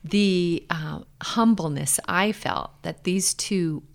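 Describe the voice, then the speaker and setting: A middle-aged American woman speaking earnestly and in a measured way as a guest in a reflective personal interview. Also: on the low side, gravelly. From Hawaii, USA.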